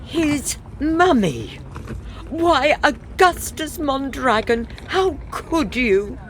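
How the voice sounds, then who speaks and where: A woman's voice: high-pitched, very animated, very slow, fairly bright tone, slight background noise, exaggerated voice. A woman playing a haughty, scornful middle-aged wife and mother in a comic period audio play, full of reproach. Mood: scandalised. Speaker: actor in an audio farce.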